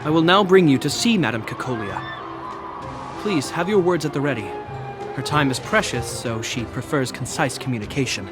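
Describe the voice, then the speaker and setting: An actor playing a formal, dutiful captain of a fortress city in a video game, measured and earnest. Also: male voice, mid-range voice, animated, natural voice. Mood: formal, businesslike. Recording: music behind the voice.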